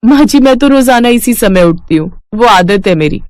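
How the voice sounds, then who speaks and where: A dutiful young bride from a moral bedtime tale, acted by a woman storyteller. She speaks high, politely and earnestly, with pious humility, in a dry close recording. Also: animated, moderate pace, a little mellow, no echo, natural voice. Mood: humble.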